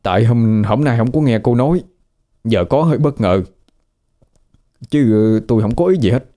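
A man's voice: mid-range voice, lively intonation, moderate pace, dark tone, close to an everyday voice. A man with a Southern Vietnamese accent playing a talkative, fussy male ghost who grumbles and gets flustered. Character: nosy, chatty male ghost, young man.

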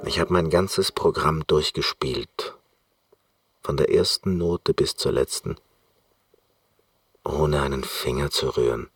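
An actor playing an eccentric, reclusive concert pianist in a radio play monologue, speaking in an intense, deliberate, reflective way. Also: male voice, extremely low pitch, slightly rough, dry recording, soft background music, full character voice.

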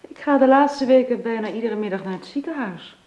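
A woman's voice: a little high, brisk, highs cut off, lightly acted. An actress playing a devoted, dutiful woman, tender but firm, in a natural conversational radio drama style. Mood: weary, pleading.

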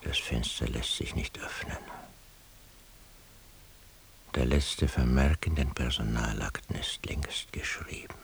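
An actor playing an obsessive, bitterly servile eavesdropper in a radio play monologue, husky and intense. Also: male voice, extremely low pitch. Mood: bleak, resigned. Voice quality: husky, gravelly, breathy half-whisper. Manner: intense, deliberate, theatrical.

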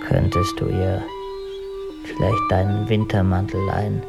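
An actor playing an evasive, stiffly dignified husband in a radio drama, formal and deadpan. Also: male voice, low voice, unhurried, vocal fry, loud music behind the voice, full character voice. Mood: deadpan, detached. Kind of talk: German radio drama acting.

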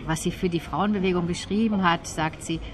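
A woman speaking as an interview guest, explaining literature in a thoughtful, deliberate, articulate way. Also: on the low side, fairly even intonation, slight background noise.

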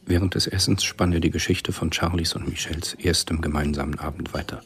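A man narrating a radio spy thriller as a sober, observant storyteller, husky and measured. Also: rumbling low, fairly even intonation, a bit bright, full character voice. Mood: sober, restrained. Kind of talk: scripted radio drama narration.

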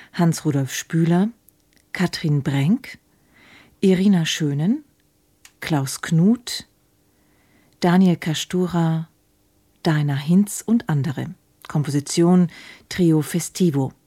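A woman's voice: on the low side, warm tone, breathy edge. A woman working as a radio announcer, reading scripted drama credits in a formal way.

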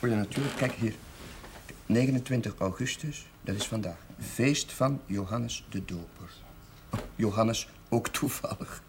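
An actor playing a calm, devout priest in a television drama, talking in a quiet, conversational way. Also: male voice, lowish voice, a little slow. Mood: calm, friendly. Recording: slightly noisy 1970s television soundtrack.

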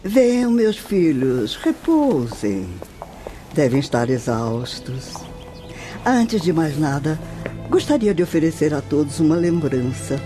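A woman playing a kindly, serene spirit nun in a Brazilian radio drama, speaking gently and maternally with a Brazilian accent. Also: deep voice, very animated, music in the background, heavily acted. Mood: motherly. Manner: slow, gentle, maternal, deliberate. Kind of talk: Brazilian radio soap acting.

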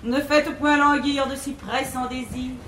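A woman's voice: sits high, steady tone, unhurried, a bit bright. An actress playing an imperious, affected learned lady of the house in a classical French stage comedy, declaiming verse grandly. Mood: eager, gracious. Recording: echoey theatre stage, noisy 1950s recording.

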